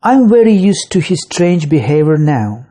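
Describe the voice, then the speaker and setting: This is a husky, mellow voice reading a grammar lesson at a slow, deliberate pace. The pitch moves a lot between phrases. It sounds like a scripted voice-over for an online course. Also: sing-song. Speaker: English teacher.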